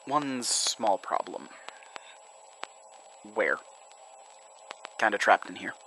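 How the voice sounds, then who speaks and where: A man's voice: lowish voice, lively intonation, very slow, vocal fry, pushed and bright, a little hiss or hum, heavily acted, rough recording. A man acting in a horror audio drama as a weary, anxious character who coaxes answers and narrates his ordeal. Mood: weary, uneasy. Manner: hesitant, stammering in dialogue.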